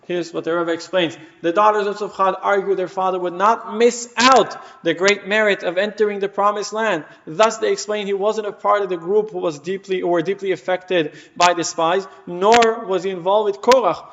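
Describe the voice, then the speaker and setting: A man whose first language is Hebrew, teaching a Torah class and retelling stories rapidly and emphatically, with restarts. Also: high-pitched, fairly even intonation, a bit bright. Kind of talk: explaining scripture to a class. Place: community hall. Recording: dull recording in a reverberant hall.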